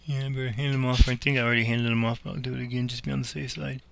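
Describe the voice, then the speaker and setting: A man's voice: medium pitch, flat delivery, rapid-fire, gravelly. A man playing an air traffic controller, mixing radio phraseology with casual commentary on his game.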